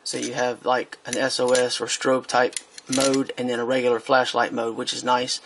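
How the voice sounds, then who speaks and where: A man's voice: medium pitch, completely flat delivery, normal speed, fairly bright tone, slight background noise. A man giving a casual product review while he handles a speaker on a tabletop, hesitant, with many fillers and restarts.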